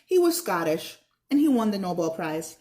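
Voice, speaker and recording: A woman's voice: mid-range voice, very animated, normal speed, darkish tone, natural voice. Woman reading as a bookish know-it-all boy. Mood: matter-of-fact. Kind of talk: children's storybook read-aloud.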